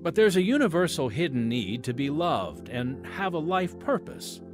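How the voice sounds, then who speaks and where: Animated American male radio announcer. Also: on the high side, normal speed, over music. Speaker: host and announcer. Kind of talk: scripted radio drama narration.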